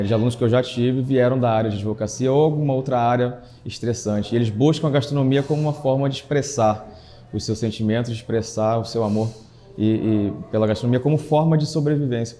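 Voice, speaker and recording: A man's voice: medium pitch, warm tone, a little hiss or hum. A man with a Brazilian accent, a chef instructor giving a reflective, emphatic testimonial for a documentary in short built-up phrases.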